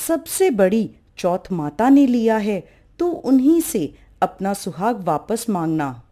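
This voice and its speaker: A woman's voice: medium pitch, big pitch swings, slow pace, mellow. A woman voicing an imperious mother goddess in a folk tale, with a chanting, commanding manner. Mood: stern, teasing.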